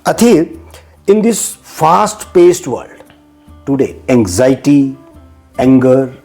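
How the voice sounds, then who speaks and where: A male host with a husky, mellow voice interviews his guest. He gropes for words and restarts his questions often, and faint music plays in the background. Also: mid-range voice, sing-song. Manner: halting questions with many fillers and restarts.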